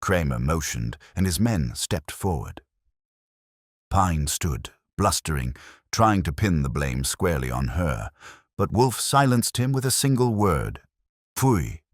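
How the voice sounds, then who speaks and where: A man narrating a detective story in the first person, with a gravelly voice and dry, hard-boiled delivery. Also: low voice, very animated, measured pace, no room sound. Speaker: audiobook narrator.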